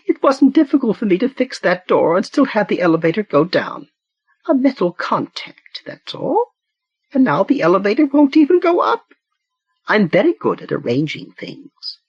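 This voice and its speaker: A sweetly unhinged elderly lady who kills with perfect manners, as a male narrator puts her on. Her voice sits high and sugary, drawn out and sing-song with wide swoops. The sound is a little husky and muffled, in a dry, close recording. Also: big pitch swings, no room sound, heavily acted. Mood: sweetly menacing. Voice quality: high falsetto, sugary and slow. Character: genteel elderly woman murderer, sweetly deranged. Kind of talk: audiobook narration.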